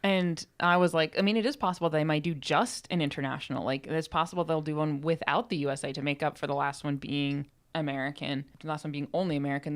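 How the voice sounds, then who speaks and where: A woman co-hosting a podcast, chatting casually and telling stories in a chatty, animated way. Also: deep voice. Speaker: podcast co-host.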